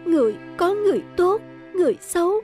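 Very high, sorrowful fox-spirit wife. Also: female voice, big pitch swings, quick pace, warm tone, soft background music. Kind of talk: cartoon fairy-tale acting.

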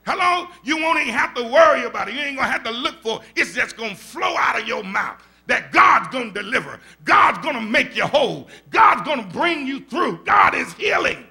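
An older man with a Southern US accent, a pastor teaching Bible study in a church sanctuary, preaching into a stand mic with call-and-response and rising shouts. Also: very high voice, sing-song, a little fast, gravelly, hardly any pauses. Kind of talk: animated preaching. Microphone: stand mic at the pulpit.

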